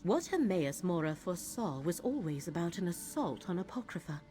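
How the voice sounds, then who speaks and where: Grave, low-voiced woman mystic. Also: big pitch swings, dark tone, faint music behind. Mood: grave, urgent. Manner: measured, solemn, formal. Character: grave, troubled woman mystic serving an eldritch fate god. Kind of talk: fantasy video game dialogue.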